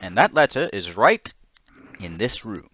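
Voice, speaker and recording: Guy acting a nervous polite little suspect man, old radio drama, stammery, bright lively pitch, stubborn underneath. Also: measured pace, no echo, dull audio, full character voice. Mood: earnest, insistent. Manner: hesitant, courteous, stammering. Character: meek, nervous, polite male murder suspect, quietly stubborn.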